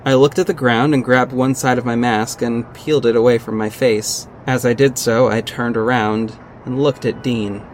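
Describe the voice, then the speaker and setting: A man's voice: medium pitch, fairly even intonation, moderate pace, few pauses, a little hiss or hum, close to an everyday voice. A man playing a confident vigilante detective who narrates his own story in an earnest, dramatic audio drama style. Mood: tense, dramatic. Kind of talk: first-person audio drama narration with acted dialogue.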